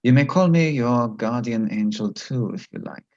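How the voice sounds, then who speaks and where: An actor playing a menacing, flirtatious military commandant in a stage play reading, speaking slowly and insinuatingly. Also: male voice, mid-range voice, darkish tone, no room sound, highs cut off, natural voice. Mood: silky menace. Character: menacing, flirtatious male Nazi commandant, smugly charming.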